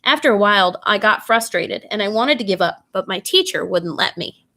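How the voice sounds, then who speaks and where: A woman reading a children's bedtime story aloud in an expressive, animated way, putting questions to young listeners. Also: medium pitch, moderate pace.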